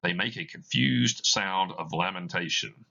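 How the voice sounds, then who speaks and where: A man's voice: low voice, unhurried, gravelly, sharp tone, muffled recording. A man reading a play aloud, giving scripted narration of the stage directions. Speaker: reader of a play.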